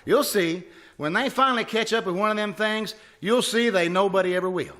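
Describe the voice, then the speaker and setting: Casting: male actor as blustering know-it-all husband, high, sharp voice, folksy old-time radio comedy delivery. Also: a little fast. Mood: scoffing, cocksure. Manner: emphatic, scoffing, folksy. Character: blustering, stubborn, cocksure husband full of malapropisms. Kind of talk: 1950s radio comedy acting, live re-enactment.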